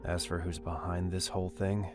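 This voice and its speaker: A man playing a serious, measured young leader in a fantasy game fan dub, speaking gravely and deliberately. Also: sits low, level tone, over music, natural voice.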